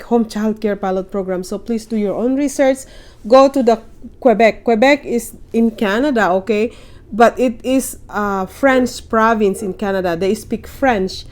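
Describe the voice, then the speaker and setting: A woman's voice: mid-range voice, mellow. A woman vlogging at home with casual advice, chatty and encouraging, often checking in with her viewers. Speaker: vlogger giving caregiver job advice. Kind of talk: casual advice vlog to camera. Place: at home in Canada.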